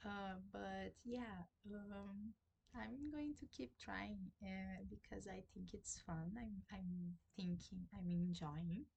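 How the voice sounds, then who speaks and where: Woman with a Portuguese accent, slow and hesitant, husky voice with a gravelly edge; casual book vlog. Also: medium pitch, poor audio. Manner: hesitant, many fillers and restarts, soft laughs. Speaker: book vlogger. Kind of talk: casual chat to camera. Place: at home in sunlight.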